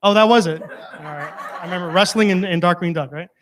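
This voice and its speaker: A man with a somewhat high voice gives an informal testimonial to a live audience. He talks very quickly and in a chatty way. His manner moves between joking and earnest. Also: crowd noise. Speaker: guest speaker, alumnus performer of the theater.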